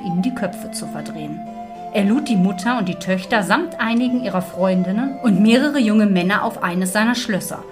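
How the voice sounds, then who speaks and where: A woman narrates a scripted fairy tale in a medium-pitched voice. Her phrasing is that of an expressive storyteller. Music is clearly heard behind her.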